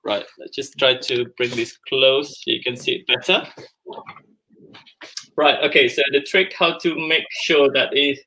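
A man's voice: medium pitch, pushed and bright, rough recording. A man in his forties hosting a live cooking demo from his home kitchen, chatting as he works and thinking aloud with lots of fillers. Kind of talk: casual live cooking demonstration. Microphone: video call camera, moving around the kitchen.

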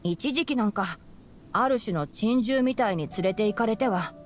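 Youthful anime fox-boy scholar female actor: composed, analytical, matter-of-fact, fairly high register. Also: normal speed, natural voice. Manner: calm, matter-of-fact, boyish. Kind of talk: anime game voice acting, explanatory dialogue.

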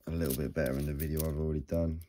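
A British man with a slightly croaky, sore-throat voice, giving casual, low-key hobby commentary as he goes along. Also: little pitch movement, very fast, mellow. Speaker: host of a coin hunting channel. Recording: noisy home recording with crinkling bag sounds.

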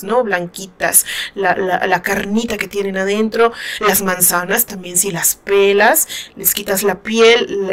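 A woman's voice: medium pitch, a bit bright. A woman with a northern Mexican Spanish accent giving casual, chatty commentary on a novel, retelling it playfully with asides to her listeners. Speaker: book commentator, channel host.

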